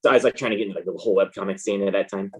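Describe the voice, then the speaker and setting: A man's voice: low-pitched, very fast, darkish tone, breathy edge. An American man talking casually and informally as an interview guest, in a laid-back way. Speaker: interview guest, comic artist.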